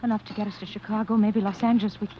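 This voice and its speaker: A woman's voice: mid-range voice, level tone, racing, lightly acted. An actress playing a devoted, anxious young woman in a 1940s radio drama, speaking in an earnest, pleading way. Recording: dull old radio broadcast with faint hiss.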